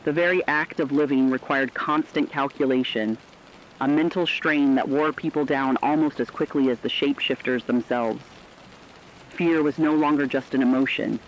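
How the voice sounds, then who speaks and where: A man delivering scripted apocalyptic horror narration in a grave, measured storytelling manner. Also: medium pitch, fairly even intonation, highs cut off, a little hiss or hum.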